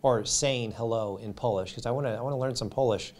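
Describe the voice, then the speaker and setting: American man, raspy voice, medium pitch, casual sports vlog commentary, halting delivery with repeated words. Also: a little mellow. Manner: halting, repeats words and trails off mid-phrase. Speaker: YouTube sports commentator and host. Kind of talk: casual unscripted tennis commentary to viewers. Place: home studio.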